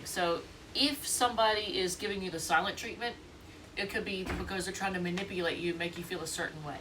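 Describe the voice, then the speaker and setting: A woman's voice: fairly low pitch, fairly bright tone, far from the mic, a little hiss or hum, rough recording. A congested woman with a stuffy nose giving a casual, rambling tarot reading, thinking aloud and restarting often. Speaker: tarot reader.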